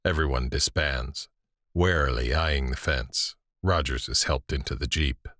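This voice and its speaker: A man narrating scene directions in a scripted audio drama, grave and measured. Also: a bass voice, a bit bright, no room sound, clean studio sound, full character voice. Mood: measured, suspenseful.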